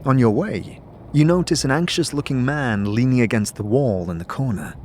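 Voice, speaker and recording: A man's voice: medium pitch, moderate pace, darkish tone, a little hiss or hum. A man giving dramatic scripted narration for a fantasy audio drama. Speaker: narrator and lead player. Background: music.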